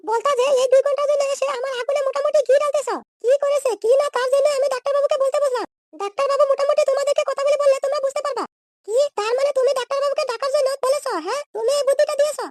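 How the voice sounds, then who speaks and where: A man's voice: extremely high pitch, fairly even intonation, very fast, noisy background. A man voicing a brash, scolding, scheming young woman in a comedy cartoon. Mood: accusing. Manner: scolding, threatening, slangy insults.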